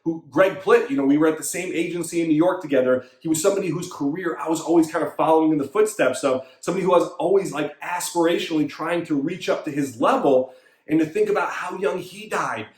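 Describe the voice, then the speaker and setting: A man in his thirties with a high-pitched voice delivers an emphatic motivational talk as a fitness coach. He hammers his points home through repetition, and the room around him rings with echo. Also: quick pace. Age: 30s. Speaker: fitness coach and show host. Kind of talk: motivational monologue.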